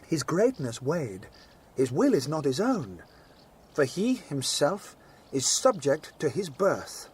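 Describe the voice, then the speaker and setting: An actor playing an earnest, protective young nobleman who cautions his sister in measured Shakespearean verse. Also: on the high side, very animated, a little mellow, slight background noise. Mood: earnest warning. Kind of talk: Shakespearean stage acting.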